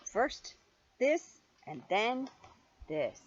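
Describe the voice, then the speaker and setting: A mature woman reading a picture book aloud to a child in an animated, playful way, with chatty asides. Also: fairly high pitch, extremely slow. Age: middle-aged or older. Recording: dull lo-fi home recording, faint noise.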